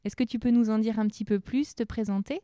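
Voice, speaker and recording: A woman from the podcast team speaking in a halting way with many fillers. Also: on the high side, fairly even intonation, mellow, no room sound, studio quality. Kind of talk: casual podcast chat.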